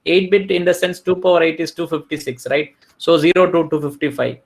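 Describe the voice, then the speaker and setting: A man's voice: a little high, brisk. A man with an Indian English accent giving a technical webinar over a video call, explaining step by step and often checking in with "right?". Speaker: webinar speaker.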